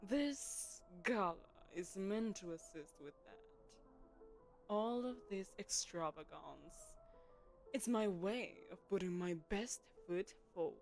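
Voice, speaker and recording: A woman's voice: medium pitch, animated, slow pace, faint music behind. A woman voicing a poised, commanding telepathic queen who hosts a lavish gala, speaking to the listener in a silken, condescending, persuasive way. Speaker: voice actress. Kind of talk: audio roleplay, spoken to the listener.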